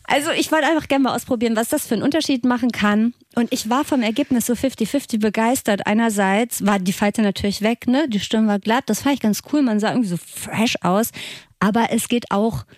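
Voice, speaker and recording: A woman's voice: on the high side, brisk, no room sound, clean studio sound. A woman of thirty-nine chatting casually and candidly as a podcast co-host, with some jokey self-deprecation. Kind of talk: casual chat.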